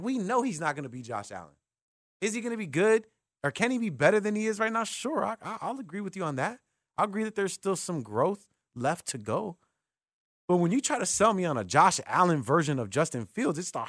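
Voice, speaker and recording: An American man hosting sports talk radio, talking with callers in an informal, animated, conversational way. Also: on the high side, dry recording, studio quality. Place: radio studio. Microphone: close broadcast microphone.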